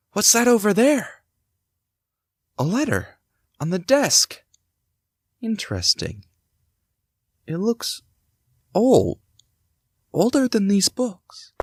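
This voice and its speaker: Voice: medium pitch, breathy edge, no room sound, studio quality, full character voice. An actor playing a curious amateur sleuth in a hobby audio drama, speaking expressively. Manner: expressive, swinging between musing and exclaiming. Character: curious amateur sleuth exploring an old house. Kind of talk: hobby audio drama acting, thinking aloud.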